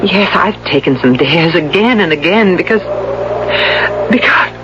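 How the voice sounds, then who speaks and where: A woman's voice: fairly low pitch, animated, a little slow. A woman acting a frightened young wife who hides cowardice behind a daring front, in a 1970s radio drama. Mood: pleading, terrified. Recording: muffled, noisy old radio recording.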